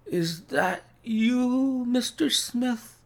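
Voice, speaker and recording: A man voicing a gravely sick man who gasps weakly and pleads haltingly for help in an audiobook story. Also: extremely high pitch, pitched above the performer's own voice, full character voice. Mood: feeble gratitude. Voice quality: labored, gasping, whispery.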